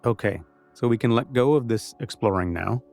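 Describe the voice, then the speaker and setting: A man leading a guided meditation, giving gentle, conversational instructions with pauses. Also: medium pitch, vocal fry, faint music behind.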